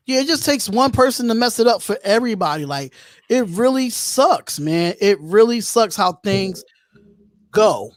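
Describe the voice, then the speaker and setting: A man's voice: sits high, lively intonation, moderate pace, no echo, studio quality. A man hosting a casual live sports call-in stream, talking in slangy, repetitive phrases. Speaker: host of a sports call-in show.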